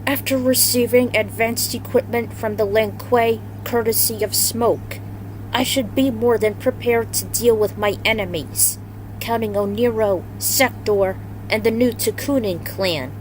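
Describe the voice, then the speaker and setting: Woman putting on a monotone male cyborg warrior. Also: on the high side, unhurried, a little hiss or hum, close to an everyday voice, poor audio. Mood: flat, ready. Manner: monotone, same note on each word.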